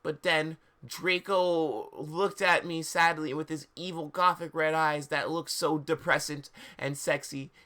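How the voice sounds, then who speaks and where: A man's voice: on the high side, fairly even intonation, unhurried, hardly any pauses, bright, forceful tone. A man hosting a livestream, switching between casual chat and a theatrical, dramatic reading of fan fiction. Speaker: streamer and host reading fan fiction aloud.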